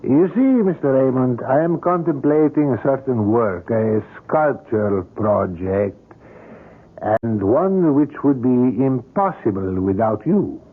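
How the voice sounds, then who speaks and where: A man's voice: mid-range voice, animated, slow, slightly rough. An actor playing an older, courteous and obsessive male sculptor in a radio drama, with formal, florid and precise phrasing. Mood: intense, insinuating. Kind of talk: old-time radio drama acting. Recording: muffled vintage radio drama recording.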